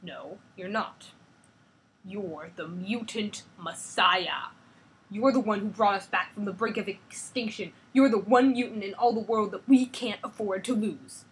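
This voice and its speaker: A stern male team leader lectures firmly, sounding protective and authoritative, as a woman reads his lines aloud. The voice stays close to the reader's own, just a bit louder. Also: mid-range voice, a little slow, close to an everyday voice, rough recording.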